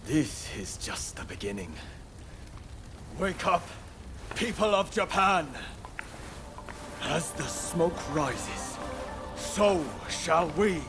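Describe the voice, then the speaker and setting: A zealous rebel orator from a video game. His high voice swings wildly as he declaims very slowly over prominent music. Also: big pitch swings, exaggerated voice. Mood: fervent, triumphant. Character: fiery rebel zealot man rallying his followers. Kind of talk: video game cutscene acting.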